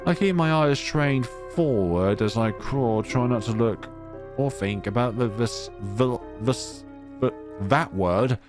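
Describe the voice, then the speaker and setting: A man's voice: medium pitch, slow pace. A man reading a visual novel aloud in a dramatic way, adding asides and correcting his own stumbles. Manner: theatrical, stumbles and corrects himself. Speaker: let's play host. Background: music.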